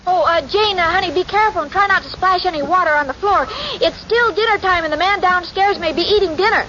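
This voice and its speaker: A woman's voice: extremely high pitch, fast talker. An actress playing a sweet, dim-witted, naive young girlfriend in a 1940s radio comedy, earnest, hesitant and literal-minded. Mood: flustered. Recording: noisy, muffled 1940s radio recording.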